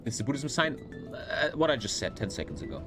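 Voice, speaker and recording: Animated German-accented man over loud music. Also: medium pitch, a little fast. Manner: rambling, heavily sweary, blunt. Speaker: live streamer. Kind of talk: casual livestream chat over gameplay.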